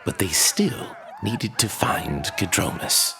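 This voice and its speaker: An actor playing a scholarly archivist in a fantasy audio drama, telling old legends in a theatrical, savoring manner. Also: male voice, low-pitched, lively intonation, takes it slowly, raised voice, music in the background, a crowd in the background. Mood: solemn storytelling. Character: scholarly male Lord Archivist, fond of drink, recounting sad legends.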